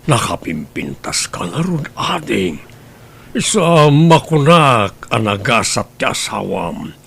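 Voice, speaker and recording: Flirt role: a man voicing a slow, gravelly charmer with a playful laugh. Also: mid-range voice, sing-song, fairly bright tone, slight background noise, full character voice. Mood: flirty. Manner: teasing, flirty, laughs often. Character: flirtatious man chatting up a married woman. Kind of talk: amateur comedy audio drama.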